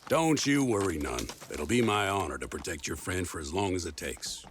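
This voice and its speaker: A man's voice: low voice, sing-song, moderate pace, slight background noise. A man playing a folksy, fatherly small-town sheriff with an air of authority in an audio drama. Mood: reassuring, folksy.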